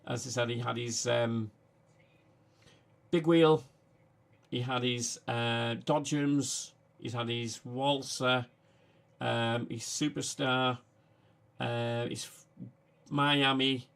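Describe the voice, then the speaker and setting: A man with a Manchester accent chats casually on a livestream, his voice gravelly and fairly flat. He stops and starts, filling gaps with "uh" as he talks. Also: mid-range voice. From England. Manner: halting, frequent "uh" fillers. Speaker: livestream host.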